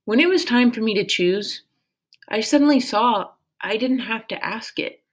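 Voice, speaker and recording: A woman voicing a gentle, resolute young girl in a storybook folk tale, calm and earnest. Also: on the high side, moderate pace, pitched above the performer's own voice, close to an everyday voice. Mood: calm, reassuring.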